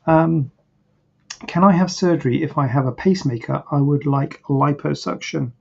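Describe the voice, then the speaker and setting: A plastic surgeon hosting a live online Q&A, answering questions in a rambling, hesitant way, and a bit croaky. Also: male voice, fairly high pitch, moderate pace. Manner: rambling, hesitant, many fillers and restarts. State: a bit croaky, clearing throat. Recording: dull, lo-fi livestream audio.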